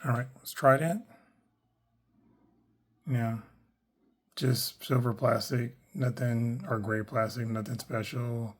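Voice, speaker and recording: Hoarse guy unboxing a figure, easygoing and rambly, average pitch, keeps fixing his words. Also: steady tone, slow pace, pauses often. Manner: laid-back, rambling, many fillers and self-corrections. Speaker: toy collector reviewing action figures. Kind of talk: casual unboxing review.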